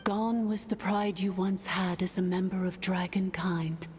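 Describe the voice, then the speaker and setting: A woman's voice: on the low side, a little mellow, a little hiss or hum. A woman playing a grave, formal artificial dragon sovereign recounting ancient history in a video game. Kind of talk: scripted video game dialogue. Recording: game music behind the voice.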